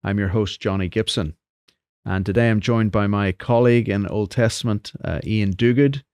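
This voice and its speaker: Low-voiced man hosting a podcast, curious and friendly, dry close sound. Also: moderate pace, a little mellow, clear, resonant tone, no room sound. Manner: friendly and inquisitive, with frequent murmured agreement. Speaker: podcast host. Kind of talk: podcast interview.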